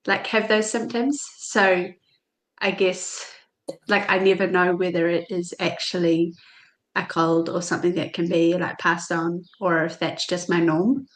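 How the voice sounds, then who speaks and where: A woman's voice: lowish voice, fairly even intonation, measured pace, low-quality recording. A woman talks casually on a podcast as a guest. She often stops and restarts mid-sentence and asks questions hesitantly. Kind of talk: casual chat.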